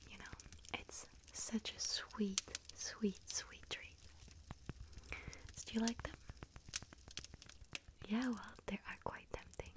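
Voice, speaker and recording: A woman acts out a friendly little witch serving in a bakery, in an ASMR roleplay. The voice stays in a soft, breathy whisper and moves slowly. The manner is gentle, chatty and sweet-toothed, savoring each treat with small hesitations. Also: on the high side, fairly even intonation. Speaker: ASMR roleplay performer as bakery shop assistant.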